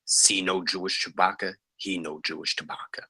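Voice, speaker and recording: Guy playing laid back wry campus cop, deep bright husky voice, comedy table read. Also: fairly even intonation, moderate pace, no echo, full character voice, poor audio.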